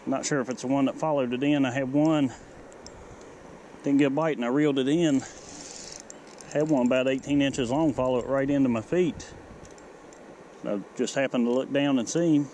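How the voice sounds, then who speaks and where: A man's voice: steady tone, normal speed, pauses often, warm tone, a little hiss or hum, rough recording. A man talking casually while he fishes, standing in a shallow river with a head-mounted action camera. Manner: low-key, talks to himself while reeling in fish. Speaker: angler filming his catch. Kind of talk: casual fishing commentary.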